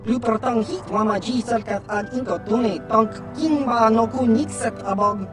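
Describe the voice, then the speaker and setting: A man's voice: extremely high pitch, moderate pace, over music. A man voicing an agitated alien creature in a video game, babbling in a made-up tongue. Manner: repeats own name, babbling third-person speech. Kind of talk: video game character dialogue.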